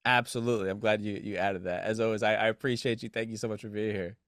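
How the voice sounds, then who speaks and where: A man hosts a casual podcast interview, full of encouragement and quick affirmations. He stumbles and restarts his phrases as he goes. The sound is dry and close, with no room echo. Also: lowish voice, little pitch movement, fairly bright tone. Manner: enthusiastic, affirming, talks in restarts. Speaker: podcast host.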